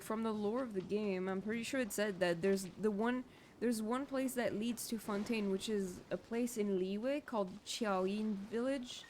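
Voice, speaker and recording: A woman's voice: mid-range voice, darkish tone. Young woman gaming on stream, kinda rambly, swears a lot, voice a bit shaky, faint music in the back. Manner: rambling, swears freely, many fillers. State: voice a little shaky. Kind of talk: casual gameplay commentary.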